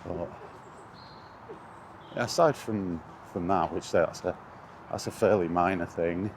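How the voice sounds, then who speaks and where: A man's voice: deep voice, slow, darkish tone. A man giving a rambling, casual vlog chat about his hobby in a hesitant, conversational, wryly exasperated way. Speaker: video game vlogger. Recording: clear outdoor background noise.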